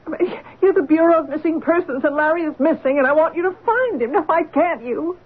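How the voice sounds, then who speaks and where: An actress playing a distraught, naive young wife, plaintive and halting, in an old-time radio drama. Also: female voice, sits high, lightly acted. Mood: tearful, pleading. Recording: tinny, hissy old radio recording.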